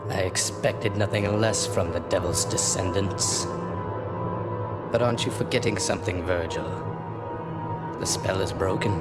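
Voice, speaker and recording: A man playing a cold, scheming middle-aged game villain, speaking in a deliberate, ominous, grandiose way with breathy near-whispers. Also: sits low. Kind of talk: video game cutscene acting. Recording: loud music behind.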